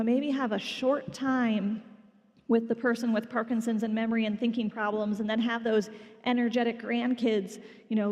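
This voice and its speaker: Gentle woman clinician, higher voice. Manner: gentle, reassuring. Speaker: clinician on a panel. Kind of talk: panel talk at a symposium. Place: conference hall. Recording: long reverb in a hall.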